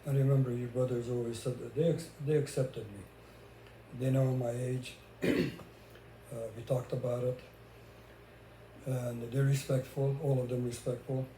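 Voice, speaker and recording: A man's voice: medium pitch, level tone, normal speed, mellow. An older man from Hungary with a Hungarian accent, chatting casually as a vlogger about his own life. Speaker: vlogger sharing relationship experiences. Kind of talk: casual conversational talk. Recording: roomy echo with faint background noise.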